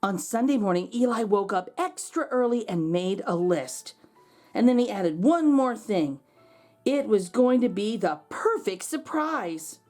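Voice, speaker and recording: A woman reading a children's storybook aloud, expressively and dramatically, with drawn-out words. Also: mid-range voice, expressive, darkish tone, soft background music. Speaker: children's read-aloud host. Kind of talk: expressive storybook narration for kids.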